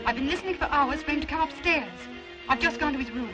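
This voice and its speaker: A woman's voice: sits high, fast, music in the background, natural voice. A woman playing an anxious, refined young woman, pleading urgently in old film melodrama style. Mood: frightened, urgent. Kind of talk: 1930s film melodrama acting.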